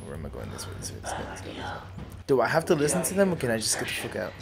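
Foul-mouthed young male gamer, animated. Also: medium pitch, rapid-fire, gravelly, noisy background. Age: young adult. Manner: foul-mouthed, muttering repeated phrases to himself. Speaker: gamer recording a speedrun. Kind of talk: casual gameplay commentary, slangy. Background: loud game soundtrack.

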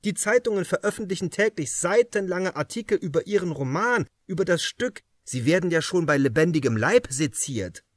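A man's voice: sits high, expressive, moderate pace, few pauses, no room sound, pitched above the performer's own voice. A man reading an audiobook as an earnest, exasperated young defence lawyer, pleading urgently.

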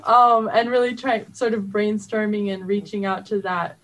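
A woman's voice: mid-range voice, fairly even intonation, normal speed, rough recording. Female interview guest, mid-range voice, informal and conversational. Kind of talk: casual conversational interview talk.

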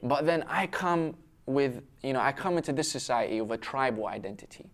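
A man's voice: mid-range voice, animated, a little fast. A young man from Syria and the UK speaks in an earnest, self-questioning way in a casual round-table discussion. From raised in Syria until twelve, then the UK. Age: young adult. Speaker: panel discussion participant. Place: indoor restaurant-style set with brick walls, seated at table. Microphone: lapel mic.

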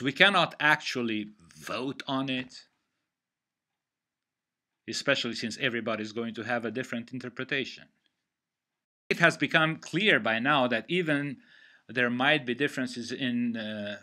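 An older man from Romania with a Romanian accent, giving an online literature lecture over a headset on a video call from home, in a measured, deliberate way. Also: mid-range voice, bright, forceful tone. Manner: measured, deliberate explaining with pauses. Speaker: literature teacher. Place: home room.

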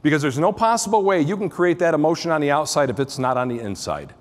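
A middle-aged American man speaks as a keynote presenter, his voice pitched high for a man and swinging widely up and down. He is emphatic and theatrical, repeating short phrases for punch. The sound rings with the long reverb of a big hall's speaker system. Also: expressive, fast talker. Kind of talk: motivational business keynote speech to an audience. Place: on stage in large conference halls.

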